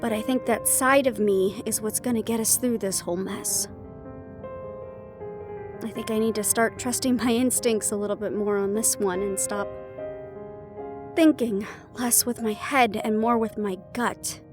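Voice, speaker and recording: A woman's voice: mid-range voice, steady tone, measured pace, natural voice. A woman playing a worried, wry half-banshee in an intimate fantasy audio roleplay, confiding and musing as she talks. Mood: wistful, resolute. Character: young female half-banshee siren, worried, wry, secretly vulnerable. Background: background music.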